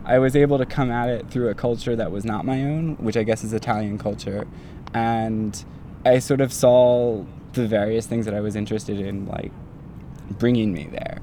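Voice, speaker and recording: A man's voice: fairly low pitch, steady tone, moderate pace, mellow, slight background noise. A young man in his twenties, a doctoral student, telling his story in a casual, rambling way full of fillers like "like" and "um". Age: 20s.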